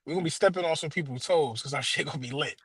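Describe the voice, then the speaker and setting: Casting: American man, thirties, slangy sports-show co-host, rapid-fire casual banter in a high register. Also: a bit bright, slightly rough, dry recording, studio quality. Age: 30s. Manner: slangy, repeats phrases emphatically. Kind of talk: casual joking chat.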